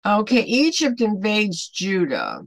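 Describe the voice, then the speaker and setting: A woman in her seventies teaching a Bible devotional to camera at home, earnest and deliberate, putting emphatic questions to the listener. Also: mid-range voice, huge pitch swings, no room sound, low-quality recording. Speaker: online Bible study host. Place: small home room, indoors.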